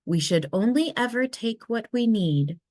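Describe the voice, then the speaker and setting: A woman voicing a gentle, reassuring mother polar bear from a storybook, soothing and unhurried. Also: a little high, animated, no echo, studio quality, voice pushed up. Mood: calm, reassuring.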